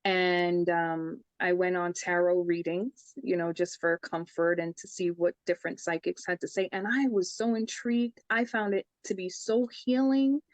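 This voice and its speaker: A young woman with a New York accent tells her story in a lowish voice, plainly and earnestly. She talks as a psychic medium in a relaxed interview. The sound is close and dry, with no room around it. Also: moderate pace, few pauses, no room sound, rough recording. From the Bronx, New York. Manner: earnest, storytelling, says "I says" for past talk. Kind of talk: casual interview chat.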